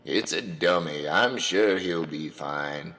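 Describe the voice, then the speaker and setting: A man's voice: low voice, takes it slowly, sharp tone, in a large hall, faint music behind, exaggerated voice. A man playing a wary, practical backwoods father in an audio comedy drama, with folksy rural phrasing. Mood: dry, dismissive.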